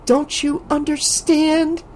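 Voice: mid-range voice, lively intonation, takes it slowly, a little mellow, pitched above the performer's own voice. A reader voicing a frightened teenage girl in an audiobook, tremulous and pleading. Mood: desperate. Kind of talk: audiobook character voice.

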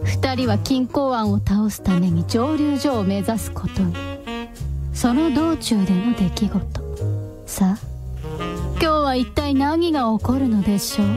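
A female narrator reads a scripted radio drama. Her voice sits on the high side and she takes her time between phrases, with clear music playing underneath. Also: a little slow, long pauses.

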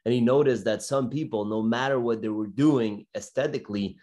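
A man in his thirties with a mid-pitched voice hosts an interview over a video call from a small room. He speaks casually and conversationally, in loose, informal phrases. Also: brisk, mellow. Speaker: podcast host, interviewer. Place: small bedroom indoors. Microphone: video call microphone.

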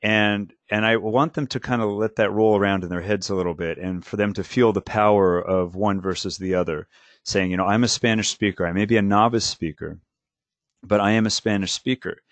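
A male language teacher talking casually on a panel, halting with many restarts and fillers. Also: lowish voice, fairly even intonation, dry recording.

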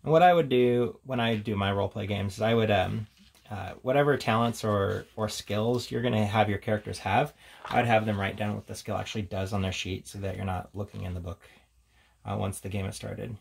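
A man's voice: lowish voice, steady tone, a little fast, gravelly, slightly rough. A man giving a casual, unscripted, rambling commentary as a tabletop game reviewer, reading out headings between offhand remarks.

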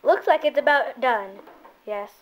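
A woman's voice: high-pitched, unhurried, dull audio. A woman hosting a playful homemade cooking show in a kitchen, bossy and offhand, with lots of hesitant fillers, recorded on a handheld camera. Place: home kitchen. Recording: noisy home camera recording.

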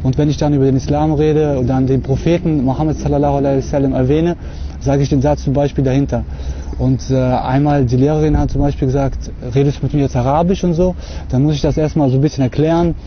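Young guy talking to the camera out on a lawn, kinda halting, lots of ums, muffled camera audio. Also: mid-range voice, flat delivery, slight background noise. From Russia. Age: young adult. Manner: hesitant, many fillers, earnest. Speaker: convert giving a testimony. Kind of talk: unscripted talk to camera. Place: outdoors on a lawn.

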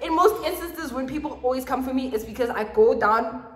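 A woman's voice: high-pitched, fairly quick. A woman in her twenties with a South African accent, chatting casually about life as a performer. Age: 20s. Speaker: performer telling stories of gigs with team. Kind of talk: casual group chat. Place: indoor room, seated before a plain wall. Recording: echoey room.

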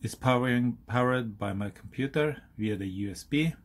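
A man in his forties from Norway, speaking English with a Norwegian accent, explaining a hobby project in a halting, self-correcting way. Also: mid-range voice. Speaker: hobbyist YouTuber. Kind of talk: casual DIY explainer. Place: outdoors on a hilltop, then indoors at a workbench.

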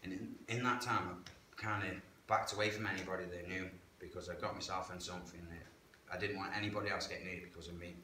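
A man's voice: low-pitched, steady tone, quick pace, few pauses, pushed and bright. A man tells his life story frankly and plainly, using local slang. He is seated in a church hall and recorded by a camera some distance away. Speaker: guest sharing life story. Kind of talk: candid personal testimony. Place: church hall, indoors, medium-sized. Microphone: far camera. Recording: echoey hall with background hiss.

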